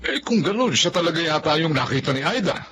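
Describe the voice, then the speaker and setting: A man's voice: fairly high pitch, lively intonation, fast talker, pushed and bright, a little hiss or hum. A man playing an urgent, concerned older relative bringing news in a Filipino radio drama. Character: concerned older brother or neighbour bringing gossip.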